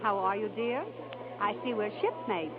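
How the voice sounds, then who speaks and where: A woman's voice: medium pitch, moderate pace, phone-quality sound, close to an everyday voice. Sly society woman, played by an actress, insinuating and breezy, 1930s radio, thin sound over a crowd. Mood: arch, breezy.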